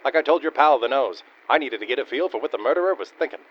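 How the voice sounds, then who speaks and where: A man's voice: mid-range voice, animated, quick pace, noisy background, lightly acted. A man playing a cynical, boneheaded private detective in a comic noir radio play, blustering in wordy tough-guy slang. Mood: defensive. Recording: dull radio-style sound with faint music.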